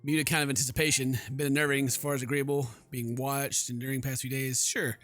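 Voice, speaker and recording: A man hosting a gaming video, reading game text aloud and commenting in a sarcastic, animated way on a close mic. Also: medium pitch, fast talker, faint music behind. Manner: sarcastic, animated when incredulous.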